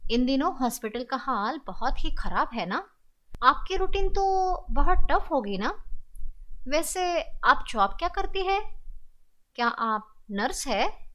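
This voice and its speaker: A woman's voice: sits high, expressive, slow, fairly bright tone, highs cut off, heavily acted. A woman storyteller voicing a helpful, polite, inquisitive male office worker in a horror story. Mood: eager, curious.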